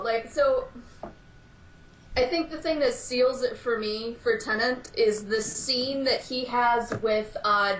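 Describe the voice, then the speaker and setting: A woman's voice: on the high side, fairly bright tone. A woman chatting as a podcast guest, speaking emphatically and with enthusiasm. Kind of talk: casual chat. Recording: roomy with faint hiss.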